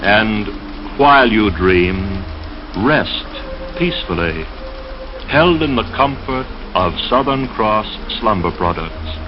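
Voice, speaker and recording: A man reading romantic poetry slowly and solemnly in a dreamy 1930s radio style, like a late-night announcer. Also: on the low side, over music. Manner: slow, solemn, romantic declamation. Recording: dull, noisy vintage radio sound. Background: steady crackle and rain noise.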